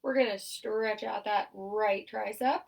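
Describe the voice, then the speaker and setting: A young woman occupational therapist leading a seated exercise class, her voice nasal and stuffed up, giving upbeat cues and steady countdowns. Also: mid-range voice, slow, vocal fry, far from the mic. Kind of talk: instructional exercise cueing with countdowns.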